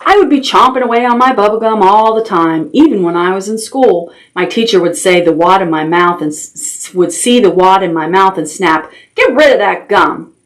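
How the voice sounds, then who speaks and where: A woman's voice: medium pitch, animated, moderate pace, hardly any pauses, low-quality recording. A woman hosting a children's storytime, reading aloud expressively and talking simply and directly to young listeners. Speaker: library storytime host. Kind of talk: children's storytime with action songs and read-aloud.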